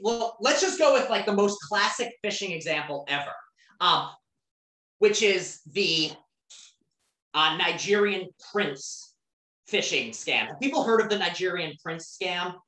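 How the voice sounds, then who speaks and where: A woman's voice: mid-range voice, expressive, measured pace, fairly bright tone. A woman teaching an online class in a casual, conversational way, often asking the students questions. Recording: roomy, distant from the mic.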